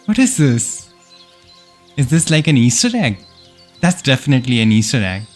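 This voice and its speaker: A man casually commenting while streaming a game, chatting with viewers and thinking out loud. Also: medium pitch, very animated, normal speed, faint music behind. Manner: chatty, answers chat, thinks aloud with fillers.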